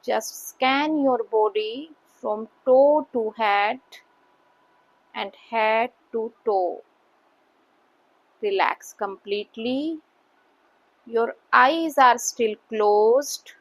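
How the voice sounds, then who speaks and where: A woman with an Indian accent leads a guided meditation as an instructor, speaking calmly in short instructions with long pauses. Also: fairly high pitch, poor audio.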